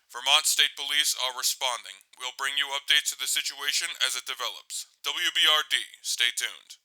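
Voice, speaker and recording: Bright, crisp male news anchor, acted by a man. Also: mid-range voice, moderate pace, low-quality recording. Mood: urgent. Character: urgent male radio news anchor covering a disaster. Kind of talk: scripted breaking news narration.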